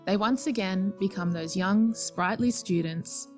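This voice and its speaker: A woman with a medium-pitched voice reads scripted narration for a nostalgic radio play. Faint guitar music runs behind her. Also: a little slow, a little mellow.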